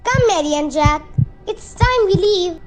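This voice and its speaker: A woman's voice: very high-pitched, animated, a little slow, faint music behind. A woman playing a bold, fussy mother with a chatty, insistent manner in an amateur radio play. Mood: flustered, babbling. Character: Doris, outspoken, fussy, bold village mother.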